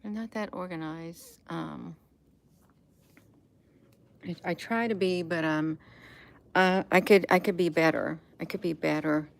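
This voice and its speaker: A woman's voice: on the low side, fairly even intonation, unhurried, gravelly, long pauses. An American woman chatting casually and in a rambling way at home, repeating words and phrases for emphasis. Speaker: vlogger. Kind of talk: casual rambling chat, showing gadgets. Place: indoors at home.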